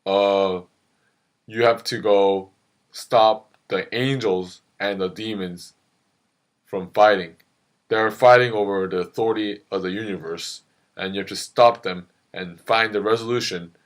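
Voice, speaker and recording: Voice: deep voice, fairly even intonation, measured pace, voice pushed down. A performer voicing a bossy, offhand agency chief handing out orders in an amateur puppet show. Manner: offhand, commanding.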